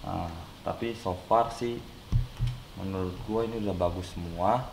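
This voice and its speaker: A man's voice: deep voice, moderate pace, music in the background. A young man chatting casually through an unboxing, his voice nasal and stuffy from a cold, rambling with many fillers. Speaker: unboxing vlogger. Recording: echoey room with clear background noise.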